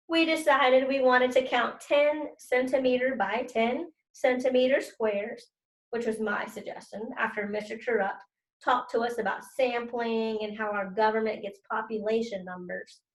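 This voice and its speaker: A woman teacher reading to children and commenting as she goes, expressive and stressing key words. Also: fairly high pitch, reverberant, low-quality recording.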